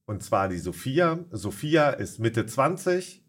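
A man's voice: medium pitch, sing-song, slow pace. A man hosting a podcast in a conversational interview style, with hesitant, rambling phrasing full of ums. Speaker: podcast host.

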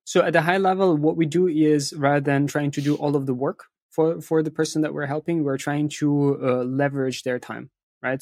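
A man's voice: a little high, gravelly, darkish tone, dry recording, studio quality. A man speaking as an interview guest, explaining things conversationally and often checking in with short tag questions. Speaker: interview guest, content marketing firm founder.

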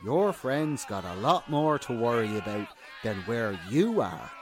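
An actor playing a slangy, good-natured beat cop in a radio crime drama, with a blunt, barking way of talking. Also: male voice, mid-range voice, big pitch swings, unhurried, noisy background. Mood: gruff, ordering. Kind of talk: old-time radio crime drama acting. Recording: crowd murmur behind the voice.

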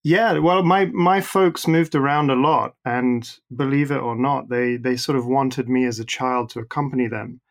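A man's voice: medium pitch, moderate pace, hardly any pauses. A young man in casual conversation, chatty and self-deprecating, with hesitant restarts as he recalls growing up abroad. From born in Singapore, raised in the Netherlands and abroad. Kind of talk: casual conversation between friends.

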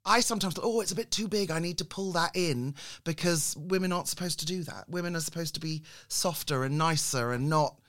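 A woman's voice: on the low side, fairly quick, hardly any pauses, a bit bright. A woman hosting a podcast in a chatty, enthusiastic way, with self-deprecating asides. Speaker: podcast host. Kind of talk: podcast interview.

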